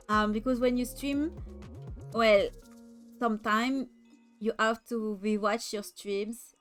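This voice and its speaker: French-accented woman streamer, high voice, slow and giggly chat, faint music behind. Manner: giggly, laughs often, stumbles and repeats words. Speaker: VTuber streamer.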